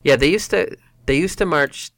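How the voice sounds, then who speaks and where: A man's voice: a little high, moderate pace, creaky, fairly bright tone, dry recording, clean studio sound. A man co-hosting a casual roundtable chat, who rambles and restarts his sentences as he thinks aloud. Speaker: podcast co-host.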